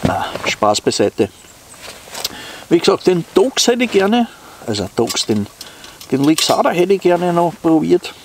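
A man's voice: fairly high pitch, big pitch swings, a little slow, pauses often. Austrian man chatting, noisy outdoor recording. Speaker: outdoor vlogger. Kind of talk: casual unscripted vlog chat to viewers. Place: outdoors in snowy woods.

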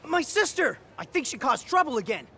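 A man playing an earnest, excitable teenage little brother in a video game cutscene, youthful and easily exasperated. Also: very high voice, brisk, a little hiss or hum, full character voice.

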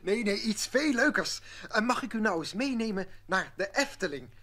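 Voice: mid-range voice, expressive, moderate pace, fairly bright tone. An actor playing a cheerful, coaxing character with a chuckling manner in a children's audio play. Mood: coaxing, amused.

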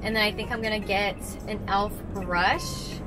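A woman's voice: mid-range voice, steady tone, a little slow, over music, rough recording. Chatty young woman vlogging from her car. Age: young adult. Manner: chatty, upbeat, frequent "like" fillers. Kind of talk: casual drive-along vlog chat. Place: inside a parked car. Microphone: camera on the dashboard.

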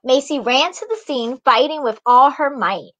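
A woman's voice: high voice, normal speed, pushed and bright. A young adult woman, a children's author, reading a storybook aloud and explaining it simply to young listeners. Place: small indoor room.

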